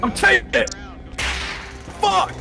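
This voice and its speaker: A man shouting excitedly while playing an online roleplay game. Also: slow, noisy background.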